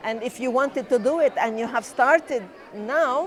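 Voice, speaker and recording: Woman in her sixties, slightly high voice. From Venezuela. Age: 60s. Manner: warm storytelling, unhurried with many pauses and fillers. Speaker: guest. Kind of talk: casual interview chat.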